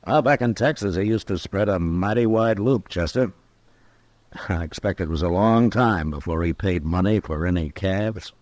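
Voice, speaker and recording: An actor playing a steady, fair-minded frontier marshal with an American accent in an old-time radio western. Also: male voice, low voice, normal speed, no room sound, natural voice. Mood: wry, conversational.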